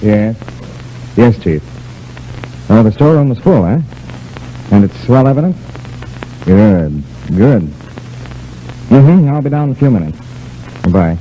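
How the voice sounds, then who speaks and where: An actor playing an assured, kindly lawman in an old-time radio serial, with an avuncular, measured manner. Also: fairly low pitch, big pitch swings, warm tone. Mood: brisk. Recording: muffled, hissy old radio recording.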